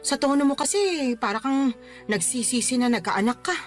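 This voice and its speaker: A woman's voice: high-pitched, lively intonation, normal speed, faint music behind. An actress playing an emotional, scolding mother and protective grandmother in a radio drama, with an exclamatory delivery. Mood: concerned, reproving. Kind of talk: Tagalog radio drama acting.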